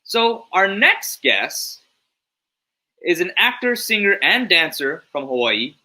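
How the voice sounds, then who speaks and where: Upbeat guy hosting a theater stream, really bright voice, kinda high. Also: slow. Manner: upbeat, friendly, lively interjections. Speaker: host. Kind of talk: casual interview chat.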